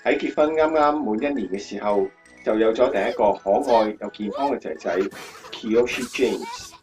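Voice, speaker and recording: A male actor as an admiring storyteller with a lower-pitched voice, speaking Cantonese. He narrates a radio drama expressively, over soft background music. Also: normal speed, natural voice.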